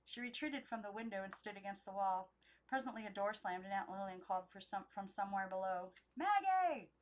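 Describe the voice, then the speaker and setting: A young adult woman narrates a children's novel. Her voice sits at a medium pitch. She reads expressively and without polish, sometimes correcting herself as she goes. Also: flat delivery, fast, poor audio. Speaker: amateur book reader. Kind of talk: unedited storybook read-aloud.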